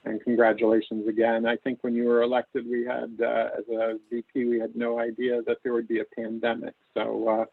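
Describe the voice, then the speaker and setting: A man with a creaky voice makes informal remarks as chair of a meeting. He pauses often and his intonation stays fairly flat. The sound is dry, slightly muffled call audio. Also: medium pitch, no room sound. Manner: halting, pausing often. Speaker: meeting chair introducing officers. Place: video conference call. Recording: dull, lo-fi online call audio.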